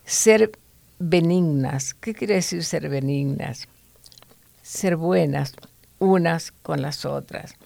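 A woman's voice: sits low, slow pace, vocal fry, mellow, no echo. A woman teaching from the Bible to other women in an earnest, preachy way, with fillers and restarts.

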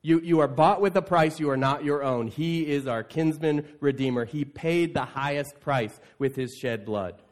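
A man with a fairly high voice delivers a sermon as a pastor. He talks conversationally to his congregation, telling the story in an animated manner and slipping into casual paraphrased quotes. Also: normal speed, hardly any pauses. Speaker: pastor preaching. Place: church, speaking to an assembled congregation.

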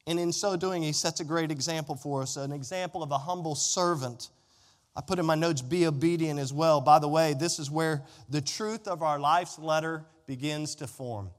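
A man's voice: on the high side, moderate pace. A man preaching a sermon as a pastor, speaking emphatically with folksy direct address and repeated phrases. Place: large indoor room, preaching to a congregation. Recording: reverberant hall with long echo.

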